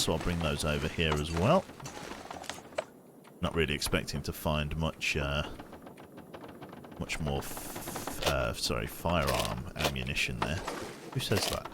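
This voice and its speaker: Low-voiced man narrating his gameplay. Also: expressive, unhurried, background noise. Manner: chatty, muttering his actions aloud as he plays. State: slightly sniffly, congested. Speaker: streamer. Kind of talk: casual gameplay commentary.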